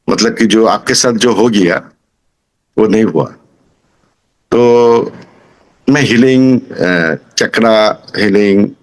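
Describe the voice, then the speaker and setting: A man in his sixties from Kolkata with a Bengali accent, giving an informal testimonial as a student, halting as he searches for words. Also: lowish voice. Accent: Bengali-accented Hindi. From Kolkata, India. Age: 60s.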